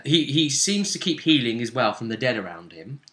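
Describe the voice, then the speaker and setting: A man recapping a tabletop role-playing session as game master, in a casual, animated storytelling manner. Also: medium pitch, fairly quick.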